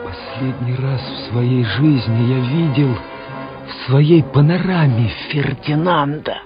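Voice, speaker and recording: Man acting slow, anguished wounded young officer. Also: medium pitch, natural voice. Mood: anguished, proud. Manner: slow, halting, emotional. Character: wounded young male artillery officer, anguished yet proud. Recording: dull old radio recording with music.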